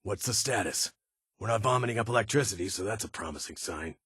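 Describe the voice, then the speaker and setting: A man playing a stern, guarded ghoul military officer in a video game, with a raspy voice and a clipped, formal delivery of dry authority. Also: on the low side, expressive, fast, fairly bright tone, no room sound, full character voice. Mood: gruff, brisk. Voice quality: raspy, gravelly. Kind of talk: video game character dialogue.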